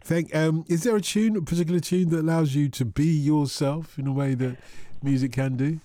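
A man's voice: fairly high pitch, fairly even intonation, normal speed, warm tone, dry recording. A man with a Liverpool accent hosting a radio music show, bantering casually in a playful, matey way. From Liverpool, England. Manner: playful, chummy, calls people mate.

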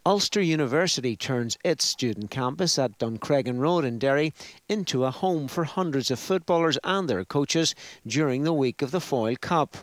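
Dry-voiced news reporter. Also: male voice, medium pitch, expressive, moderate pace, no room sound. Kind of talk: scripted news voice-over.

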